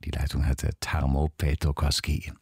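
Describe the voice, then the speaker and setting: A man's voice: extremely low pitch, monotone, normal speed, darkish tone, breathy edge, no room sound, clean studio sound. A man presenting on a culture radio station, introducing a report and announcing a live broadcast.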